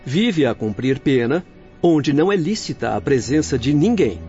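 Composed, patient Brazilian spirit doctor, formal radio-play delivery, lo-fi recording over soft music. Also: male voice, fairly high pitch, animated. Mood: grave, frank. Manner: measured, formal, reassuring. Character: kindly, composed male spirit-world doctor, patient mentor. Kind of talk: Brazilian radio drama acting. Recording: dull lo-fi radio drama audio with music.

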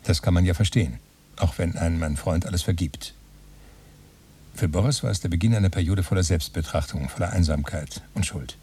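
Deep, dark, pedantic male narrator. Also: brisk, breathy edge, recorded from a distance. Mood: dry, reckoning. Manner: matter-of-fact, enumerating. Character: dry, pedantic male narrator who counts and reckons everything. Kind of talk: literary radio play narration.

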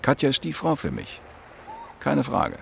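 A man's voice: medium pitch, wildly swooping pitch, a little slow, creaky, a little hiss or hum, exaggerated voice. An actor playing a married economist in his mid-thirties, wry and evasive, speaking in clipped phrases in a radio play. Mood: defensive. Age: middle-aged.

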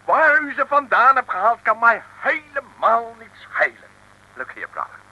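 An actor playing a haughty, irritable ambassador in an old comic radio serial, pompous and dismissive. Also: male voice, extremely high pitch, very animated, normal speed, sharp tone, muffled recording, exaggerated voice. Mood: indignant. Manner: pompous, swooping into indignation. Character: haughty, irritable American male ambassador, self-important and dismissive. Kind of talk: 1950s-60s comic radio serial acting.

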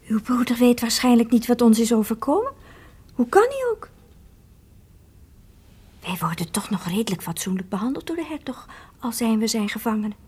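Expressive, lilting young maidservant. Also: female voice, a little high, normal speed, pauses often, husky, exaggerated voice. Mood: concerned. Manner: expressive, storytelling lilt. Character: devoted, lovestruck young maidservant, trusting and eager. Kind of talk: Dutch radio play acting, theatrical.

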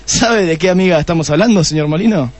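A man's voice: high-pitched, darkish tone. A man playing a smug, theatrical police detective with an Argentine accent in a comedic radio drama. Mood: self-satisfied. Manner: self-satisfied, explaining with dramatic pauses.

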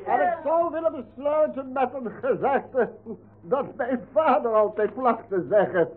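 A man's voice: very high voice, animated, unhurried, some chatter behind. A man playing a pompous village mayor making a ceremonious speech in a radio play. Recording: narrow, phone-like radio recording.

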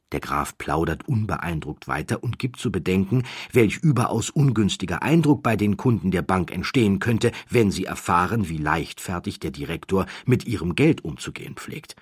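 A deep-voiced man reads a scripted story as an audiobook narrator. His tone is husky, his phrasing deliberate with theatrical emphasis. It is recorded close and very dry. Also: dry recording. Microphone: close studio microphone, dry.

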